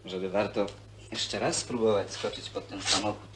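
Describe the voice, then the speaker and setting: A bitter young man from a workers' hostel, played by a film actor, with a lowish voice and a sarcastic, needling way of talking. His speech is colloquial and provoking. The old film soundtrack is clearly noisy. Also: fairly even intonation, normal speed, a bit bright, lightly acted. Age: young adult. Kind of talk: naturalistic film dialogue.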